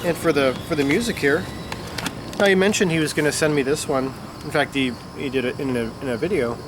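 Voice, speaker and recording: A man's voice: fairly high pitch, fairly quick. Congested man chatting outdoors, full of fillers. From Chicago area. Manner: rambling, many "uh" and "you know" fillers. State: congested, stuffed up. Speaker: record-collecting vlogger. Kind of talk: casual unscripted chat to camera. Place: outdoors on a summer afternoon. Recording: outdoor insect and traffic noise.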